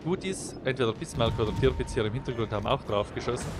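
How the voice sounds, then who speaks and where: A man's voice: mid-range voice, quick pace, noisy background. A young man with a slight cold, explaining a naval game replay as he commentates over it, getting livelier when the action starts. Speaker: gameplay commentator.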